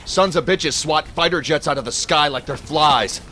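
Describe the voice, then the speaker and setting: An actor playing a grim, bitter soldier surviving an alien invasion in an audio drama, speaking with gritty urgency. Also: male voice, on the high side, moderate pace, pushed and bright, slight background noise. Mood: bitter, urgent.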